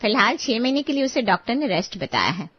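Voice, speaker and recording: A woman's voice: a little high, very animated, quick pace, slightly rough, highs cut off. A woman playing a kind, appreciative lady of the house, speaking in a reassuring, affectionate way. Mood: reassuring, persuasive.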